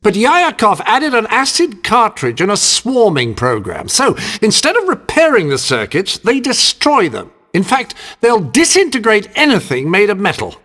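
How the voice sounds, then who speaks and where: High, animated male tech expert. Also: moderate pace, in a large hall, exaggerated voice. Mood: explanatory. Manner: explanatory, precise. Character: eager male spy-agency technical expert, explaining precisely. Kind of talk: video game briefing dialogue.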